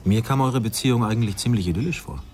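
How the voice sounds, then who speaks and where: An actor playing an articulate, considerate male friend in a radio drama, speaking in a measured, tactful way. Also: lowish voice, darkish tone, close to an everyday voice. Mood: curious, gentle.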